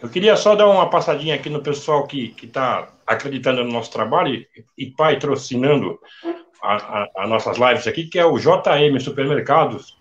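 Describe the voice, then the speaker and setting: A man's voice: mid-range voice, animated, normal speed, muffled recording. A man hosting a live online interview, chatting freely and reading out sponsor plugs before his questions. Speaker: interview host. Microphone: video call.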